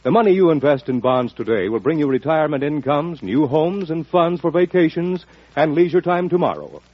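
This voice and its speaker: A man's voice: a little high, expressive, brisk. A man reading a scripted announcement in the style of a mid-century radio announcer. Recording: dull, dry vintage radio recording.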